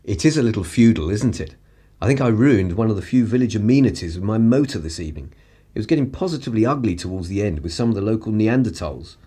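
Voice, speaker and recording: A man's voice: on the low side, lively intonation, fast, mellow, slightly rough. A man with an upper-class English accent playing an urbane, wry 1930s journalist in a radio play, languid and drily superior. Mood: wry, genial. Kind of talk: period radio play acting.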